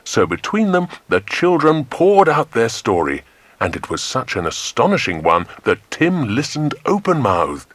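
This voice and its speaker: A man narrating a scripted children's adventure story in an expressive, dramatic way. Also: mid-range voice, unhurried, dry recording.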